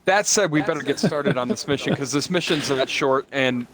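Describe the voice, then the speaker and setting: A man's voice: medium pitch, moderate pace, a bit bright. A man co-hosting a gaming stream in casual, rambling chat, often restarting and joking about himself. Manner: rambling, self-deprecating, repeats words. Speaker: stream co-host.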